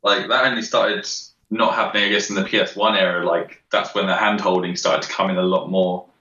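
Deep-voiced man in his twenties. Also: brisk, roomy sound, dull audio, slight background noise. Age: 20s. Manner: relaxed, rambling, frequent fillers and restarts. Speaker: podcast guest, game developer. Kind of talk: casual chat.

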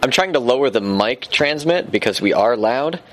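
A man's voice: medium pitch, lively intonation, moderate pace, background noise. A man hosting a casual podcast, rambling and joking with friends, swearing freely. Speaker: podcast host.

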